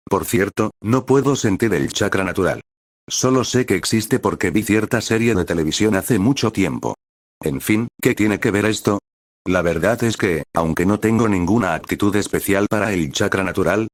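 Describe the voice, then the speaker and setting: A man narrating a fan fiction story in an even, measured reading. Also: low-pitched, no room sound, clean studio sound.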